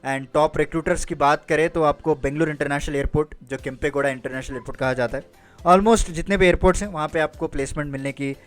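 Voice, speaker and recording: A man's voice: a little high, fast talker. A man giving an informal explainer lecture as a career guidance presenter, conversational, often checking in with listeners and mixing in English phrases. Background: music.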